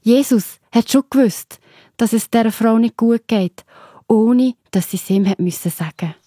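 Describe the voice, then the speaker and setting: A woman narrator with a fairly high but mellow, husky voice tells a children's story in a Swiss German accent. She speaks simply and directly to young listeners, recorded dry and close. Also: moderate pace, no echo.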